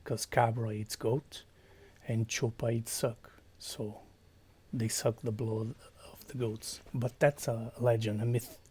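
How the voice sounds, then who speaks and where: A man from Portugal with a Portuguese accent, chatting quietly on a stream, hesitant, with many fillers and slips into whispers. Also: mid-range voice, level tone, slow pace, husky. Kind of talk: quiet casual chat with viewers.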